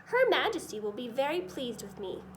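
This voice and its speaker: A woman's voice: sits high, big pitch swings, normal speed, slight background noise. A woman voicing a pompous, impatient male army general with a demanding manner in a children's storybook reading. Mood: self-important, impatient. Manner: self-important, demanding.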